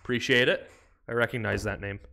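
A young man chatting casually on a live stream from a small room, profane and excitable, bursting into loud outbursts. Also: mid-range voice, fairly quick, vocal fry.

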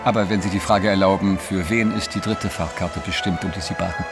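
A proud, theatrical French newspaperman in a radio play. His voice is on the low side, emphatic and self-assured, with music clearly playing behind it. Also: fairly even intonation, brisk. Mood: spirited. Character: confident, dramatic French journalist, flamboyant and proud. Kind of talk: German audio drama acting.

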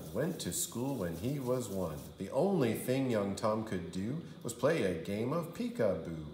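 A man reading a children's storybook aloud like a kindergarten teacher, with theatrical, sing-song delivery. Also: fairly low pitch. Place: indoor room. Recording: roomy indoor echo.

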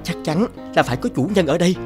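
A man's voice: a little high, quick pace, over music, lightly acted. A man voicing a simple, greedy, easily amazed young peasant with an exclamatory manner in a children's cartoon fairy tale. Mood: astonished. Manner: exclamatory, muttering, wondering.

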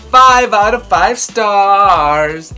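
A man in his thirties reading a storybook aloud and reviewing it to camera in a theatrical, expressive way. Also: sits high, very slow, clear, resonant tone, faint music behind. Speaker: children's book reviewer and host. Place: small furnished room at home, indoors.

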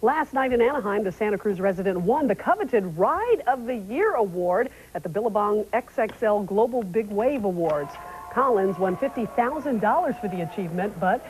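A woman's voice: medium pitch, expressive, normal speed, a little mellow. American newswoman on a noisy TV recording. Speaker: TV news anchor. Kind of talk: scripted news reading. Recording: noisy old TV broadcast recording.

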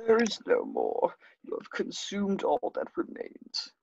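A man voicing an ancient, sinister alien master in a raspy, creaky whisper, in a fan table read. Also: sits high, huge pitch swings, measured pace, a little hiss or hum, exaggerated voice. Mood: ominous. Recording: dull, lo-fi video call.

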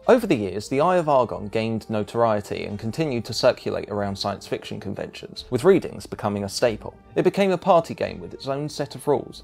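A man's voice: mid-range voice, creaky, darkish tone. A man reading scripted narration as a video essayist, with a wry, emphatic delivery.

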